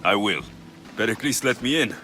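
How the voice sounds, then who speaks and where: A man playing a steady, protective ancient Greek mercenary in a game cutscene, earnest and plain-spoken. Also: lowish voice, normal speed, lightly acted. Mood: reassuring. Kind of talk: dramatic game cutscene acting.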